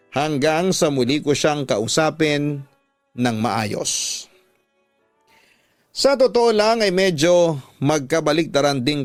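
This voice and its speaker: Male Filipino radio drama narrator, slightly high voice, reading a confessional letter in a dry studio over soft music. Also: dry recording. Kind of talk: scripted dramatic narration of a confessional love story. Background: background music.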